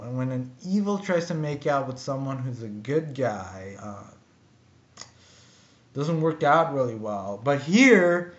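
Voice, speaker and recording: A young man casually reviewing a comic book to a webcam in his bedroom, rambling with lots of fillers and waving his hands. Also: mid-range voice. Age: young adult. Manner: rambling, filler-heavy, animated gestures. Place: bedroom with ceiling fan, indoors. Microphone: webcam built-in mic. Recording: roomy, dull lo-fi webcam audio.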